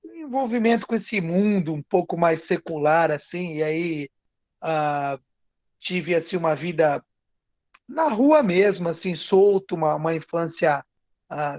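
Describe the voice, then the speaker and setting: Phone-like, slow-talking man from coastal São Paulo. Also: on the high side, no echo. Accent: Brazilian, São Paulo coast. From Cubatão, São Paulo state, Brazil. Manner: halting, repeats phrases, often adds "né". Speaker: podcast guest. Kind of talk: casual personal storytelling in an interview. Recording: narrow phone-like online call sound.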